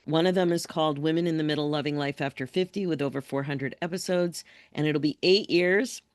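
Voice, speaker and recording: Fifties female coach on a video call, low, dry, chatty. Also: a little fast, no room sound. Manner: chatty, clearing throat. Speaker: podcast host and midlife coach.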